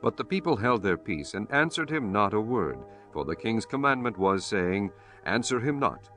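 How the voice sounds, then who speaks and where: Low-voiced man narrating scripture. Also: sing-song, moderate pace, pushed and bright. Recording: music under the voice.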